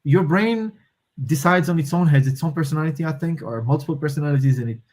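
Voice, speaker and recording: Thoughtful Moroccan-born man, higher voice. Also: fast talker, a little mellow. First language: Moroccan Arabic and French. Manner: thoughtful, restarts and searches for words. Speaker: guest. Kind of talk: conversational interview.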